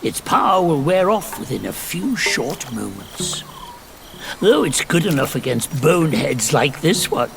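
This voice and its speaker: An actor playing a small, quirky old earth god in a video game, helpful and excitable, with theatrical, folksy delivery and a creaky voice. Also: male voice, fairly high pitch, unhurried, over music, exaggerated voice. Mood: friendly, instructive. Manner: theatrical, folksy, chuckling, old-fashioned wording. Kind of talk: video game character acting.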